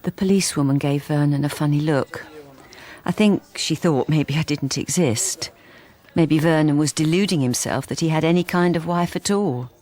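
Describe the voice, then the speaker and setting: An actress playing a lonely middle-aged wife who has turned invisible, narrating a radio drama in a hesitant, confiding, understated way, at times in a breathy near-whisper. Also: female voice, low voice, moderate pace, a little mellow, lightly acted. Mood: wry, subdued.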